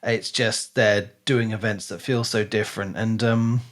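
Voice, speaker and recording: A man's voice: fairly low pitch, fairly even intonation, a little slow, fairly bright tone. A man co-hosting a podcast, casually discussing a book and rephrasing as he thinks aloud. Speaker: podcast co-host.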